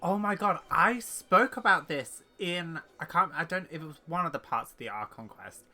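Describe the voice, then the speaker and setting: A man giving casual, halting commentary on a game as he thinks aloud, with music underneath. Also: a little high, lively intonation, bright, forceful tone. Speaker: gaming streamer.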